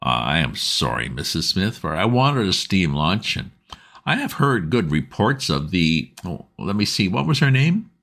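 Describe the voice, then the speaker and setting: A man voicing a keen, composed gentleman detective who speaks in a measured, coaxing way. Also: sits low, sing-song, creaky, full character voice.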